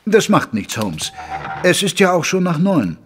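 An actor playing a loyal gentleman doctor who narrates a detective story in a measured, literary way. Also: high voice, very animated, over music. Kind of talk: scripted audio drama narration.

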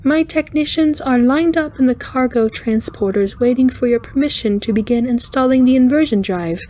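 A woman's voice: high voice, warm tone, pitched above the performer's own voice, natural voice. A woman voicing a cheerful, intense female alien spider engineer in an audiobook, with a light chiming voice and courteous, precise speech. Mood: earnest, brisk. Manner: brisk, precise, courteous, slightly formal. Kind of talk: audiobook character voice.

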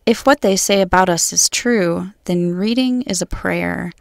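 Woman poet reading her poems, solemn and measured, lots of vocal fry, dry recording. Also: fairly low pitch, no echo. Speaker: guest poet. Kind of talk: poetry reading.

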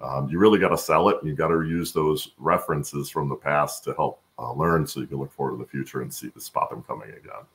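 Male interview guest, middle-aged, extremely low gravelly voice, conversational and explanatory. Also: steady tone, fairly quick, few pauses, fairly bright tone. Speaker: guest, supply chain expert. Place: home room, on a video call.

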